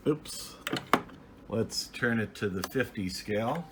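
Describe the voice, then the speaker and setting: A man giving a casual, unscripted hands-on gear review as a hobbyist, halting and restarting his phrases as he goes. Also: fairly low pitch, lively intonation, heavy background noise.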